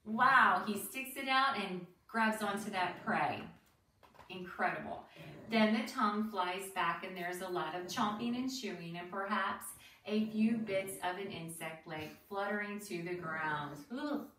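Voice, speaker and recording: A woman reading a children's book aloud like a teacher, expressive and deliberate, pausing for asides and questions to young listeners. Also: medium pitch. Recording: roomy, distant mic with faint noise.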